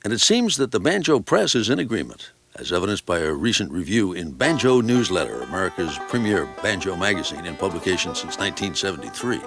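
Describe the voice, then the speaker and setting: A man reading a scripted promotional voice-over in a smooth delivery. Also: low voice, big pitch swings, fairly quick, hardly any pauses. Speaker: narrator. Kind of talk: scripted narration. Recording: music bed under voice-over.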